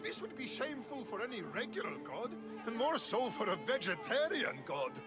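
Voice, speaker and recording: An actor playing a fervent ancient Greek cult follower in a video game, earnest and fawning with overblown devotion. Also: male voice, very high-pitched, very animated, moderate pace, heavily acted. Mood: earnest reverence. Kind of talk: dramatic video game dialogue. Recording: music behind the voice.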